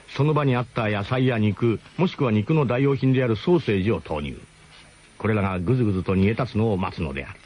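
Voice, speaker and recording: A man's voice: mid-range voice, darkish tone, a little hiss or hum. A man narrating a radio drama as a first-person comic story, in a mock-grand, theatrical way.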